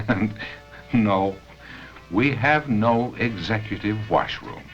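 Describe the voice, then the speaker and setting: An American man reading scripted narration for a 1970 company training film, with measured, deliberate phrasing and pauses. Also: lowish voice, expressive, soft background music. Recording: dull 1970 film soundtrack.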